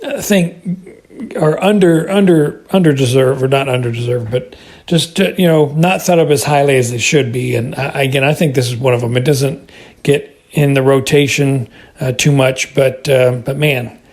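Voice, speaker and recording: A man's voice: mid-range voice, normal speed, a little mellow. A middle-aged or older American man giving a casual spoken review, hesitating and repeating words as he rambles. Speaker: listener calling in with feedback.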